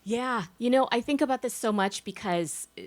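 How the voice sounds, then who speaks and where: A woman's voice: a little high, normal speed. A woman sociologist speaking articulately and with emphasis on a panel. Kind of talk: panel discussion.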